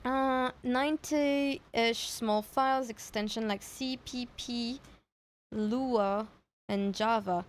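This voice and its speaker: A woman streamer with a fairly high voice talks very slowly. She chats casually with her viewers. She thinks aloud, hesitating and repeating words as she goes. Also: steady tone. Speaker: streamer reacting to horror videos. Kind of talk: casual livestream chat.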